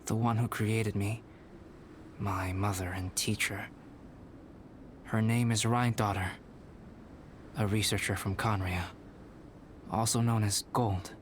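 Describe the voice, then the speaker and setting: A man voicing a calm young male alchemist in a video game, speaking in a measured, formal and polite way over game music. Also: fairly low pitch, a little hiss or hum.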